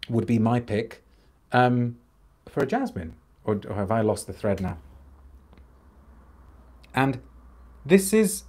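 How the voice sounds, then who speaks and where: A man, hosting an unscripted perfume review live stream from a small room at home, expressive and enthusiastic and reading out viewer comments. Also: mid-range voice. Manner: enthusiastic, expressive, gestures a lot, frequent restarts. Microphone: camera at desk distance, seated.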